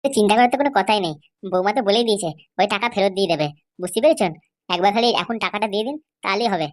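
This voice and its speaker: A woman's voice: medium pitch, rapid-fire, lightly acted. A woman voicing a persuasive young go-between who coaxes an elder to pay up in a comedy cartoon. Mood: coaxing. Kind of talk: amateur comedy cartoon dialogue.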